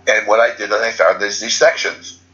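A man's voice: on the low side, fairly even intonation, normal speed, sharp tone, dull audio. A man giving an unscripted spiritual talk as a teacher, rambling and correcting himself as he goes. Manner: rambling, restarts and self-corrections.

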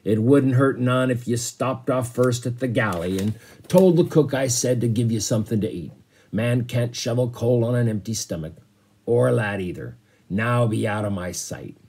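Man acting a blustering, gruff sea captain. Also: medium pitch, normal speed, a little mellow, voice pushed up. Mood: gruff, softening. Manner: roaring, bullying, salty exclamations.

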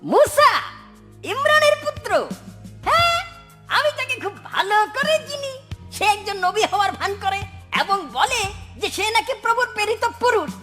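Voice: very high-pitched, normal speed, bright, forceful tone, faint music behind. An actor's cartoon performance of a vain, scheming rich man who is boastful and fawning, with theatrical swoops from mutters to cries. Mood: fawning, sly. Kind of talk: dramatic Bengali cartoon voice acting.

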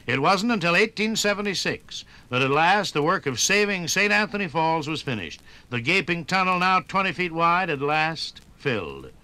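A man narrating a scripted 1950s radio documentary in an emphatic, measured and dramatic announcer's style, heard on an old broadcast record. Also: fairly high pitch, big pitch swings, bright, forceful tone, no room sound.

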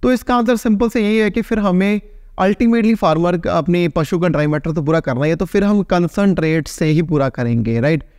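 Man explaining farm topics, higher voice. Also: normal speed, darkish tone, clean tone, dry recording, clean studio sound. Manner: conversational, keeps adding a checking "right?". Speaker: veterinarian answering viewer questions. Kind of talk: casual explainer to camera. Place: small plain indoor room, seated.

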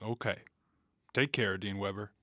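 An actor playing a stern police officer in an audio drama, speaking in a formal, official manner. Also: male voice, fairly low pitch, fairly even intonation, moderate pace, bright, forceful tone, slight background noise.

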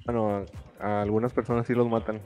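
A man's voice: fairly low pitch, little pitch movement, a little fast, darkish tone, soft background music. A man with a Mexican accent chatting casually over gaming voice chat, swearing often in slangy speech.

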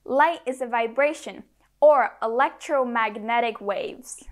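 A young woman presents a scripted lesson for young learners. Her pitch is on the high side, and she speaks at a very slow pace, setting out the words one by one. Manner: measured, word-by-word delivery for learners. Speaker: presenter of a children's science lesson. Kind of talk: scripted educational narration in simple English.

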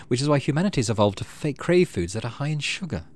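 Casting: man playing a clever, self-assured teen girl scientist for a game, precise, musing, pitched higher. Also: big pitch swings, quick pace. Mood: thoughtful.

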